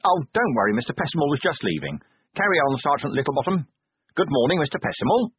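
A man's voice: on the high side, big pitch swings, quick pace, breathy edge, dry recording, voice pushed up, heavily acted. A man doing a weary, sardonic watch commander. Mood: brusque. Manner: brusque, sardonic. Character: weary, dry-witted male watch commander. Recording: thin phone-like dry recording.

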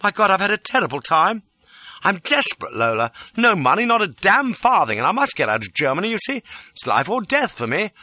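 A man's voice: high voice, sing-song, brisk, pushed and bright, dry recording, voice pushed up, heavily acted. A man voicing a desperate, wheedling English rogue who pleads in an overwrought, exclamatory way, as in a dramatic audiobook. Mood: desperate pleading. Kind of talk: dramatic audiobook character acting.

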